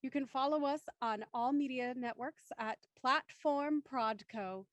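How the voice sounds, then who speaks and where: A woman's voice: high-pitched, measured pace, no room sound. A woman hosting a live panel discussion, speaking in an emphatic, articulate way. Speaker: host of a live show discussion.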